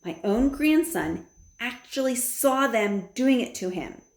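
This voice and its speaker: A woman voicing a dignified, patient grandmother who speaks firmly and deliberately, in a storybook reading. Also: fairly high pitch, lively intonation, reverberant, pitched above the performer's own voice. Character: calm, dignified old grandmother, patient and firm.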